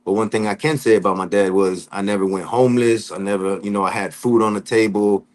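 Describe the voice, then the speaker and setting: A man from Florida telling his life story in a casual interview, talking in relaxed street slang and often saying "you know what I'm saying". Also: fairly low pitch, quick pace. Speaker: podcast guest.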